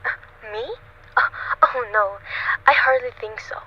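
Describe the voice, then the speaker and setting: A woman's voice: on the high side, steady tone, measured pace, natural voice. A woman playing an anxious, demanding bedridden housewife in a radio suspense play, fretful, insistent and melodramatic. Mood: flustered, self-assured. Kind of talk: old-time radio suspense play acting. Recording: muffled lo-fi recording with background noise.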